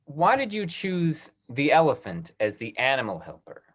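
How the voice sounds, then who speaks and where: A man's voice: mid-range voice, wildly swooping pitch, moderate pace, a bit bright. A man hosting an online question-and-answer session, upbeat and encouraging. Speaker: talk back host. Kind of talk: video call Q&A. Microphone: video call.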